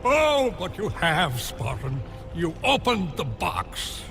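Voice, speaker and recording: An actor playing a hulking, weary, bitter smith god and protective father in a video game, gravelly, slow and brooding. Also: on the high side, huge pitch swings, full character voice. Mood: angry. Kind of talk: video game cutscene acting. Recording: music behind the voice.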